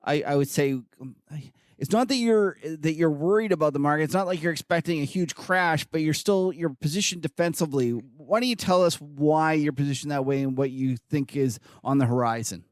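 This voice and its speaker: A man's voice: on the high side, fairly quick, no room sound, clean studio sound. A man in his fifties co-hosting a podcast, chatting casually and breaking in with jokes and asides. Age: 50s. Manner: jokey, talks over guests to add points. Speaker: podcast co-host. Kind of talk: casual market chat.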